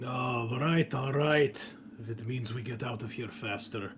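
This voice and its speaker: A man playing a cocky deep-sea welder in a tabletop game, dismissive and grudging. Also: a little slow, background noise, lightly acted.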